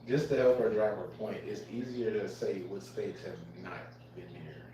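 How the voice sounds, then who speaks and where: Low-voiced man moderating in an echoey room. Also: moderate pace, dark tone, recorded from a distance, a little hiss or hum. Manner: probing, clarifying questions. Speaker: panel moderator.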